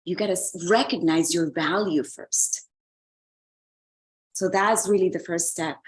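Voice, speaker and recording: A woman's voice: fairly low pitch, measured pace, low-quality recording. A woman from Colombia, a relationship coach and author, speaking earnestly as an interview guest, often repeating words as she searches for them.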